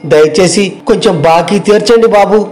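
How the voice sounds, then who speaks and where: A man plays a young village tea seller from a moral tale, his voice notably high. He speaks in earnest, pleading speeches, determined and aggrieved. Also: normal speed, darkish tone, soft background music, natural voice. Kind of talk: acted moral story dialogue.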